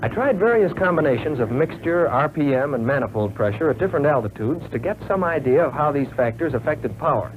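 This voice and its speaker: Man acting as young American trainee fighter pilot, earnest, plain-spoken, measured 1940s training film narration, tinny narrow sound, faint noise. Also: on the high side, lively intonation, natural voice.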